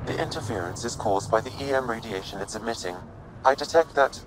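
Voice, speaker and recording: An actor playing a calm male AI assistant who speaks in a measured, formal way. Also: mid-range voice, natural voice. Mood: analytical. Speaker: game character. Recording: distant, echoey with loud game noise.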